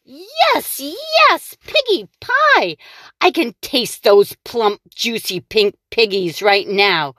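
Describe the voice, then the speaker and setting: A woman's voice: sing-song, takes it slowly, fairly bright tone, no room sound, clean studio sound, heavily acted. A woman voicing a grouchy, hungry old witch who screeches and cackles as she schemes. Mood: gloating. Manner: shrill, gleeful, cackling.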